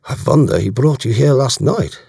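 A man's voice: mid-range voice, normal speed, gravelly, warm tone, husky, dry recording, studio quality, pitched above the performer's own voice. A man voicing a bluff, shrewd German naval commander in an audiobook, genial and courteous as he probes with questions. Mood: amused, curious.

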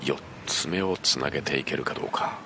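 A man's voice: a bass voice, fairly quick. A man calling a bowling match live in a dramatic commentary style. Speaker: sports play-by-play announcer. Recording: noisy broadcast with crowd. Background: bowling arena crowd.

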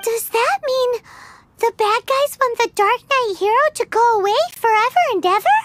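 An actor voicing a tiny, excitable female fairy companion in a video game, squeaky and cutesy. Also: normal speed, a little hiss or hum. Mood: puzzled. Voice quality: squeaky, childlike. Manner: exclamatory, naive, cutesy.

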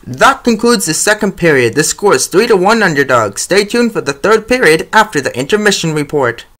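A male announcer narrates an animated hockey series in a fairly high voice. He reads scripted play-by-play and calls out the scores. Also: brisk.

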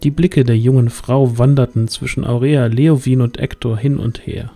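A male narrator reads a fantasy audio drama. His voice is warm and mellow, of medium pitch, with gentle music behind it. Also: steady tone, moderate pace.

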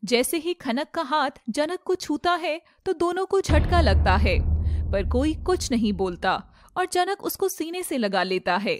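A woman reading scripted narration as the storyteller of an animated fairy tale. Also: sits high, a little slow, slight background noise.

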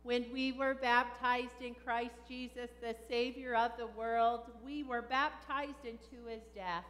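A woman pastor reading formal funeral liturgy in a measured, solemn way. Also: a little high, steady tone, a bit bright. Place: large church sanctuary. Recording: long church reverb.

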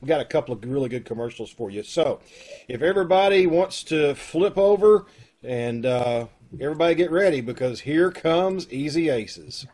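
Middle-aged male host, slightly high voice, informal chatty introductions on a video call. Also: animated, moderate pace, darkish tone, slightly rough. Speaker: host of an amateur radio-drama troupe. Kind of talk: casual chat, introducing cast and show. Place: indoor room at home, video call. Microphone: video call microphone.

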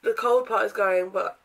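A young woman vlogging casually from her bedroom, with a croaky voice from a sore throat, chatting in a rambling way with lots of ums and throat clearing. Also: mid-range voice. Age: young adult. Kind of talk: casual day-in-my-life vlog. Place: bedroom, indoors.

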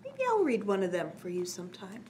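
A middle-aged woman hosting story time, reading to young children with expressive, simple phrasing. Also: medium pitch, a little fast, a little hiss or hum. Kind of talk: children's read-aloud narration.

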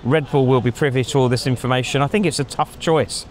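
A middle-aged man giving measured opinions in a casual outdoor chat, thinking aloud with frequent ums, on a lapel mic. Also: medium pitch, steady tone. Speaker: pundit. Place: outdoors in a racing paddock at night. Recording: faint outdoor street ambience.

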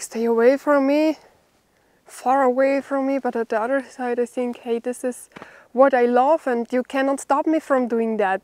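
Slow-talking young German-accented woman. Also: fairly high pitch, darkish tone. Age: young adult. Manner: halting, with pauses mid-sentence. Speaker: bushcraft vlogger. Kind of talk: unscripted vlog talk to camera. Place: outdoors in a forest.

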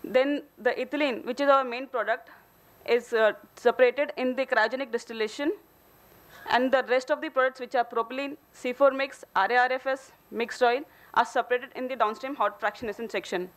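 A woman's voice: a little high, steady tone, fairly quick, poor audio. A woman with an Indian accent giving a formal technical presentation at a conference. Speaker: company engineer presenting a case study. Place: hotel conference hall, at a podium on stage.

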